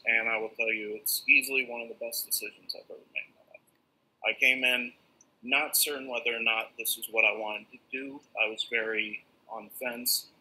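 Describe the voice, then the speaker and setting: A man's voice: mid-range voice, bright, forceful tone, husky, poor audio. A young man from northern New York, a nursing student, candidly telling his own story over a laptop mic on a video call. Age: young adult. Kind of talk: casual video call talk. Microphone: laptop or webcam mic on video call.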